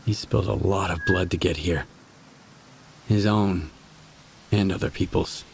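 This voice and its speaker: With clear ambient noise behind, a gruff wasteland wanderer in a game. His deep voice stays terse, steady and reserved. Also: a little slow. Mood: probing. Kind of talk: dramatic video game dialogue.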